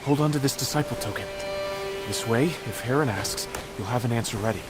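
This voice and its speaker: A man playing an excitable, earnest young male inventor in a video game cutscene. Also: mid-range voice, a little fast, lightly acted. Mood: serious, quieter. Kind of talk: animated game cutscene dialogue. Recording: loud music behind the voice.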